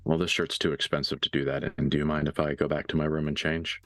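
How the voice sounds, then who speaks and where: A man's voice: a bass voice, flat delivery, fast, fairly bright tone, soft background music. A man playing a deferential, blunt enforcer who loves a fight in a tabletop game. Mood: deferential, stoic. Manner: blunt, obedient, says sir and ma'am.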